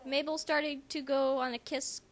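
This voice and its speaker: High-pitched woman, theatrical amateur reader. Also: level tone, a little slow. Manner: theatrically emphatic, breaking off for squeamish asides. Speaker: co-reader and commentator. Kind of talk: amateur dramatic reading with casual commentary. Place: at home in a house.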